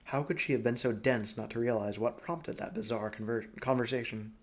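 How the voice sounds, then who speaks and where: A man's voice: mid-range voice, steady tone, a little fast. Man reading fiction aloud with drama. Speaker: audiobook reader. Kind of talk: expressive fiction narration.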